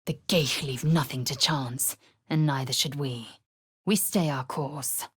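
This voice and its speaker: A woman playing a fierce, proud, disdainful young githyanki warrior in a video game, speaking in a husky, breathy, imperious and clipped way. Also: rumbling low, dry recording, studio quality, exaggerated voice. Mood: stern. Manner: imperious, clipped, deliberate, formal.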